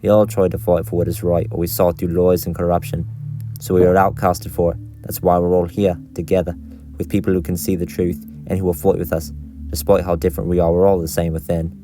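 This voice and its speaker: A man voicing a principled, idealistic outcast vigilante leader in an amateur animated series, giving earnest little speeches. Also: deep voice, brisk, faint music behind, natural voice. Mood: resolute.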